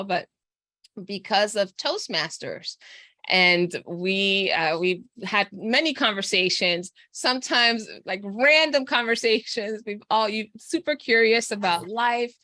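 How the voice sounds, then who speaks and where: A woman's voice: mid-range voice, no echo, clean studio sound. Laughing, encouraging woman podcast host. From Texas, USA. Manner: warm, laughs often, encouraging. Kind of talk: casual interview chat.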